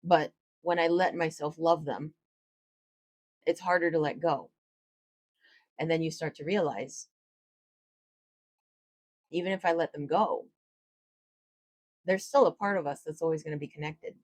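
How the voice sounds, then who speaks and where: Woman, low husky voice, tarot reader, reflective casual explainer, dry recording. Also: steady tone, a little slow, long pauses, no room sound. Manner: reflective, gently explanatory, with fillers. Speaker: tarot reader and spiritual teacher. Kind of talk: casual spiritual explainer to camera.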